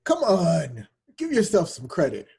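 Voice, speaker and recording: A man playing a proud, encouraging middle-aged father with a husky voice in a script table read. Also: on the high side, huge pitch swings, unhurried, darkish tone, heavily acted.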